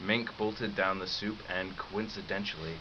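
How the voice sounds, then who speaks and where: A man narrating a 1950s-style radio drama in a measured, deliberate, ominous manner. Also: sits low, fairly even intonation, close to an everyday voice. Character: measured, ominous male storyteller of eerie tale. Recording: dull lo-fi radio recording, clear hiss.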